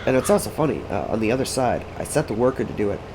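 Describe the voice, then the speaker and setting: A man's voice: medium pitch, sing-song, rapid-fire, noisy background. A congested, sniffly man giving rambling, casual commentary while playing a farming game, thinking aloud to himself and his chat. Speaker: gaming streamer.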